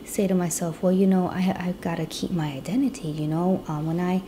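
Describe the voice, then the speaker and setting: A woman's voice: on the low side, steady tone, a little fast, warm tone, slight background noise. A woman from the US Midwest telling her personal story in a chatty vlog, with lots of "you know". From Chicago, US Midwest. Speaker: vlogger.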